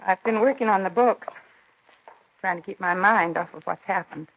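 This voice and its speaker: A woman's voice: medium pitch, moderate pace, breathy edge. An American actress playing a worried, plain-spoken wife in her fifties in an understated 1950s radio drama, subdued in manner. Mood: subdued, polite. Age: 50s. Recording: thin, narrow old radio recording.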